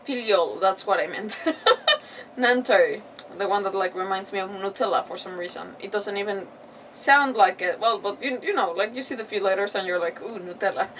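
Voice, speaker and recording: A woman's voice: mid-range voice, moderate pace. Casual woman streamer, slightly off-mic. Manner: animated reactions, rambling, mock-arguing with characters. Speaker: game streamer. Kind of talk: casual live gameplay commentary. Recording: slightly distant mic, faint background noise.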